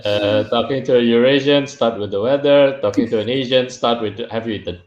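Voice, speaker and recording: A man's voice: mid-range voice, a little fast, a little mellow. A man who grew up in Singapore, hosting a casual interview, talking in a thoughtful way and getting emphatic when he jokes. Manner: thoughtful, reflective, grows emphatic when joking. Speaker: podcast host. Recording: echoey, dull lo-fi room sound.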